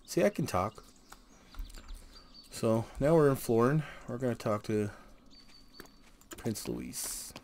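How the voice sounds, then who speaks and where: A man's voice: medium pitch, animated, slow, gravelly, pauses often, darkish tone. A man casually narrating his gameplay, muttering joking asides as he goes. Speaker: gameplay streamer. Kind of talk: casual gameplay commentary, reading quest dialogue aloud. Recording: noisy home recording.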